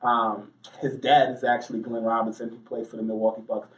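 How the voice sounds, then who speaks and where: A man's voice: medium pitch, little pitch movement, fairly quick, fairly bright tone. An American man giving talkative, anecdotal answers as a sports journalist being interviewed. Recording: roomy, distant mic.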